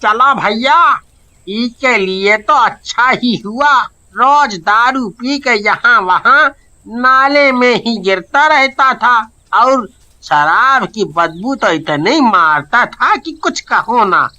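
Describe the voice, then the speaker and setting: Plain-spoken rustic villager, man acting, eastern Hindi accent: bright, forceful tone, very drawn-out exclaiming delivery. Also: extremely high pitch, animated, exaggerated voice. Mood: scornful.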